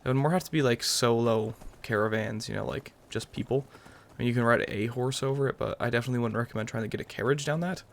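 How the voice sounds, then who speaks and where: Young man rambling casually, slightly gravelly. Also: mid-range voice, fairly even intonation, fast, hardly any pauses, slight background noise. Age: young adult. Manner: rambling, musing aloud. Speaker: gaming video host. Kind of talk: casual let's-play commentary. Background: game music.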